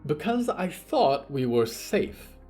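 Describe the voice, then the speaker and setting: A man's voice: fairly high pitch, very animated, takes it slowly, a little mellow, slightly rough, soft background music, pitched above the performer's own voice, heavily acted. A man playing an anxious, fussy male devil in an audio drama, with an emphatic, exasperated delivery.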